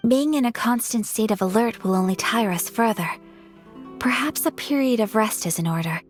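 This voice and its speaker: A woman voicing a refined, composed young noblewoman in a video game, formal, earnest and polite, with a soft, breathy voice. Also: fairly high pitch, animated, normal speed, natural voice. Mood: composed, thoughtful. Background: background music.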